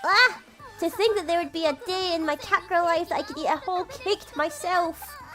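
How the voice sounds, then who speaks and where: A very high, gushing cat girl a woman acting, greedy for sweets and overjoyed. She exclaims like an anime game character, with faint music underneath. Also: a little fast, pushed and bright. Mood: blissful.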